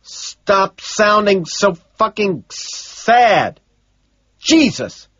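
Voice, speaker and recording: A man voicing a distraught young man who shouts desperately into a payphone. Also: high voice, animated, very slow, muffled recording, pitched above the performer's own voice, exaggerated voice. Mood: frantic.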